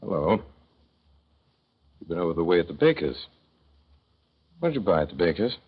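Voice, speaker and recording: A man narrating as a gentle, thoughtful father in a 1930s radio drama, with measured, tender storytelling. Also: sits low, very animated, husky, heavily acted. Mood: playful. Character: gentle, thoughtful father telling of his son. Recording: dull old 1930s radio recording.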